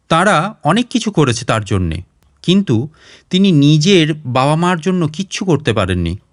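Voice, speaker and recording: A man's voice: a little high, dry recording, clean studio sound. A man reading a literary short story aloud as a storyteller, in audiobook style.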